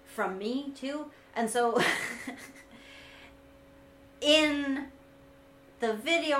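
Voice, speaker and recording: A woman with a high, sweet, gentle-sounding voice, talking casually and reflectively as a co-host, with a wry, self-deprecating manner. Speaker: vlogger and co-host discussing their video series. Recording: echoey room, light background noise.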